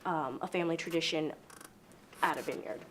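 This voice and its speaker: A woman's voice: sits low, brisk, slight background noise. Young woman explaining, a little hesitant. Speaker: interviewee. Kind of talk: casual interview answers.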